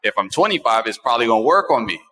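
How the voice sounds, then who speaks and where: A bright, forceful American man in his forties talks in a long, reverberant room. He hosts a casual talk show and tells stories in an animated, repetitive way, leaning on phrases for emphasis. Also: mid-range voice, very fast. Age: 40s. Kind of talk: casual chat, personal storytelling.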